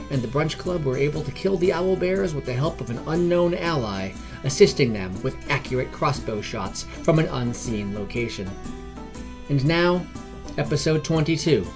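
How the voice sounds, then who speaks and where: A man hosting and narrating a scripted fantasy adventure podcast. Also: fairly high pitch. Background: background music.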